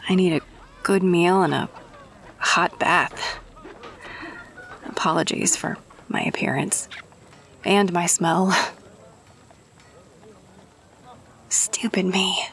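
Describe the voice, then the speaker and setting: A woman acts out a grubby, good-natured rogue for hire in a fantasy audio roleplay. She talks in an unhurried, slightly low voice, casual and chummy with soft pauses, as if to an old friend. Clear ambient noise sits behind her. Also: steady tone. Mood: grateful, sheepish.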